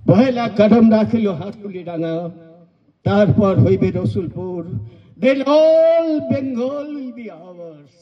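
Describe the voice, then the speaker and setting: Casting: male actor as cruel British planter, stage drama, commanding, slow and high-pitched. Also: animated, recorded from a distance, exaggerated voice. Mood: gloating. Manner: commanding, menacing. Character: cruel, domineering British colonial indigo planter. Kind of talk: stage play acting. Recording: dull, lo-fi sound.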